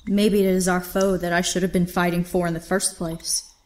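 An actress playing a defiant, idealistic young niece in an audio drama, earnest and indignant. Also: female voice, lowish voice, flat delivery, moderate pace, a little mellow, natural voice. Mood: bitter, challenging.

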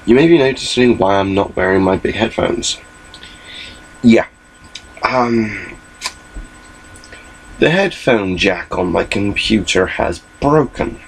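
A man's voice: lowish voice, lively intonation, slow, vocal fry, long pauses, a little hiss or hum, rough recording. A young man giving a rambling, chatty webcam update from his small bedroom, recorded on his laptop mic. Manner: rambling, chatty, gestures a lot. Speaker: vlogger.

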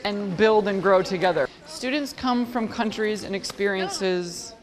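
A woman giving an interview about teaching children, speaking in a halting, thoughtful way. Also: mid-range voice, big-room sound, a crowd in the background.

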